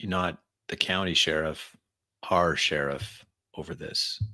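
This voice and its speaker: Male tabletop gamer. Deep, crisp-edged forceful tone, in-character dialogue and first-person action narration. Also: fairly even intonation, takes it slowly, no room sound. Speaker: roleplay game player.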